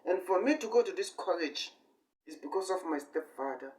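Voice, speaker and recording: A man in his twenties giving a rambling improvised monologue in halting, repetitive short phrases with sudden emphatic bursts. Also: high-pitched, lively intonation, darkish tone. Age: 20s. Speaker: amateur online speaker. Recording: distant microphone.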